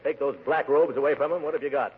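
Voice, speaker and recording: A man's voice: a little high, quick pace, lightly acted. Man playing a blustering uncle on tinny old radio. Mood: boastful. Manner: blustering, stammering, chuckling. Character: pompous, blustering middle-aged uncle, a girdle-factory owner. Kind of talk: 1940s radio comedy acting. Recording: muffled, noisy 1940s radio recording.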